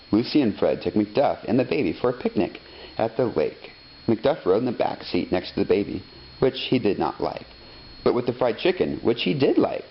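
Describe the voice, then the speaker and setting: Expressive low-voiced man reading a storybook. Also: gravelly. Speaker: storybook reader. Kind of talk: expressive scripted children's story narration. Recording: dull lo-fi sound, faint noise.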